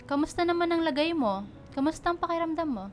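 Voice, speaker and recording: A woman's voice: very high voice, lively intonation, fast talker. A woman playing a caring, protective young big sister in radio drama dialogue.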